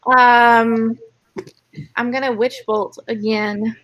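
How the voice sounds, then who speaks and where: A woman's voice: fairly high pitch, flat delivery, slow pace, fairly bright tone, no echo, poor audio. A woman chatting casually as a player in an online tabletop game. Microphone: online call microphone.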